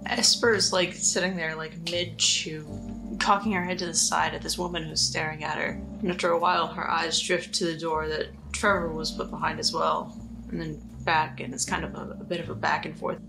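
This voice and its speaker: A woman's voice: low voice, level tone, moderate pace, creaky, poor audio. A woman playing a tabletop game, casually narrating what her character does. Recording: music under the voice.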